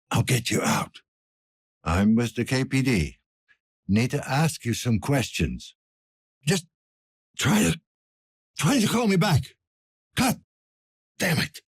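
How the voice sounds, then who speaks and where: An actor playing a weary, gruff older police detective in a cyberpunk video game, with a gravelly, breathy rasp and a terse, dry manner. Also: male voice, lowish voice, heavily acted. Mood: concerned, then urgent. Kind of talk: scripted game character dialogue.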